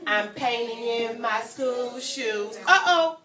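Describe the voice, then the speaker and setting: A woman reading a story aloud to young children in an animated, teacherly way, prompting them to join in and breaking into sung refrains. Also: a little high, takes it slowly. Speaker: guest story-time reader for young children. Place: indoor room at a childcare centre. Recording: echoey room, distant mic, children around.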